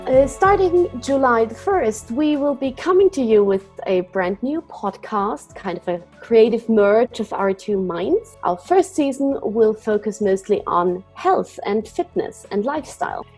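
Austrian woman co-hosting, mellow and high. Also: lively intonation, a little slow, hardly any pauses, husky, soft background music. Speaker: podcast co-host. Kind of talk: scripted podcast teaser.

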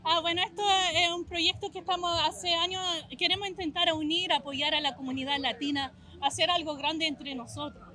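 Woman from Australia, high bright voice, eager festival organizer at an outdoor event. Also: fairly even intonation, normal speed, hardly any pauses. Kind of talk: casual interview answers.

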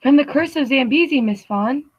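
A woman's voice: high-pitched, moderate pace, dry recording, lightly acted. Woman playing anxious servant, dry and slightly dull. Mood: worried, deferential. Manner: formal, hesitant, repetitive. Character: deferential, anxious household butler. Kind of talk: 1940s old-time radio acting.

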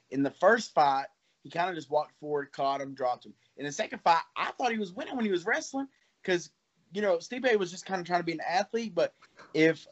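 A man's voice: fairly high pitch, lo-fi sound. A man in his twenties, a fighter, talking casually in an interview in a loose, rambling way with some laughs. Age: 20s.

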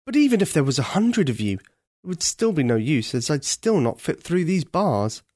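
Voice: medium pitch, big pitch swings, moderate pace, darkish tone, no echo, clean studio sound, pitched above the performer's own voice. A storyteller voicing a young imprisoned prince who speaks formally and earnestly. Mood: hopeless.

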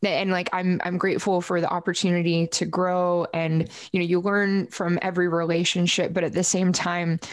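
A woman with a low voice chatting casually and informally as a musician guest in an interview, rambling as she goes. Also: flat delivery, moderate pace, few pauses, dry recording, clean studio sound.

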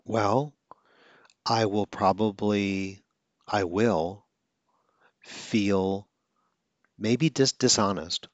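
A man's voice: fairly low pitch, very slow, pauses often, no room sound, dull audio. A man who stutters now and then, hosting a podcast and coaching listeners in an earnest, instructional monologue.

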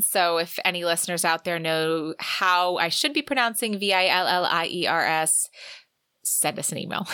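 A woman's voice: on the low side, pushed and bright, no room sound, studio quality. A woman co-hosting a book podcast, mixing casual chat with a read-out synopsis. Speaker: podcast co-host.

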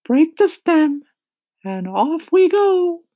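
Kind lady ladybug for a storybook: very high, slow, emphatic, bossy explainer. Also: big pitch swings, dark tone, no echo, clean studio sound, full character voice. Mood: excited, explaining. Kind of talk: children's storybook reading.

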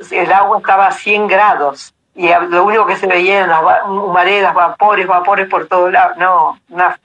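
A woman's voice: on the low side, a bit bright, breathy edge, poor audio. An Argentine woman of eighty-two telling stories casually as an interview guest, with an easygoing, anecdotal manner. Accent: Argentine Spanish.

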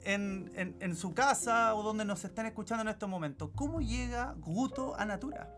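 A man's voice: sits high, moderate pace, a bit bright. A man with a Chilean accent hosting a podcast, friendly and enthusiastic as he greets and questions his guest. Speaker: podcast host. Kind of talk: podcast interview. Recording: podcast with guitar music behind.

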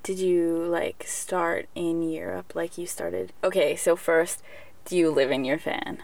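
A woman's voice: on the low side, steady tone, a little slow, vocal fry, a little mellow. A woman asking questions casually as an interviewer. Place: inside a camper van.

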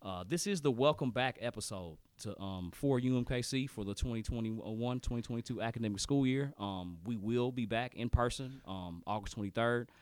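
A man's voice: mid-range voice, moderate pace, hardly any pauses, darkish tone, clear, resonant tone, no echo. A man in his late thirties co-hosting a podcast in casual chat, laid-back, with lots of "man" and "you know" and hesitant restarts. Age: 30s. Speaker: podcast co-host.

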